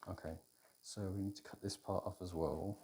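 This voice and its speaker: A British man in an intimate, casual ASMR roleplay as a boyfriend cooking, talking in an unhurried, hesitant way as if thinking aloud. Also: low-pitched, fairly even intonation, darkish tone, breathy edge. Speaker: audio roleplay performer playing a boyfriend cooking. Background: kitchen food preparation sounds.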